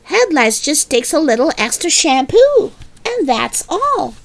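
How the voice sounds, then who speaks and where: An eager, bratty little girl acted by a woman from a children's storybook, high and very swoopy in pitch. She pleads and protests dramatically, leaning hard on her words with childish emphasis. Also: very animated, measured pace, full character voice. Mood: pleading. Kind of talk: animated children's storybook reading.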